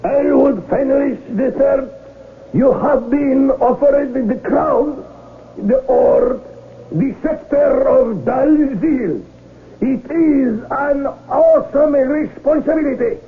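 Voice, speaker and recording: A ceremonious military colonel with a strikingly high voice and slow, stately delivery. Every phrase is formal and solemn, in old radio drama fashion. The sound is thin and tinny with faint noise. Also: male voice, exaggerated voice. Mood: solemn, ceremonial. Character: solemn, ceremonious colonel presiding over a coronation. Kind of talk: 1970s radio drama acting.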